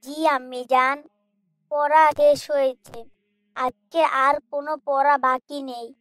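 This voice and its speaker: Devout young cartoon boy played by a woman, high-pitched, polite and measured, very dry sound. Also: fairly even intonation, a bit bright, dry recording. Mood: respectful, reassuring. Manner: earnest, respectful, measured. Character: polite, pious young boy, gently admonishing his brother. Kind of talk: Islamic moral cartoon dialogue.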